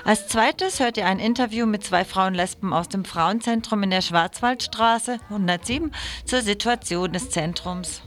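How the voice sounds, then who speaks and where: A woman's voice: medium pitch, normal speed, faint music behind. A woman radio presenter reading scripted news items in a studio.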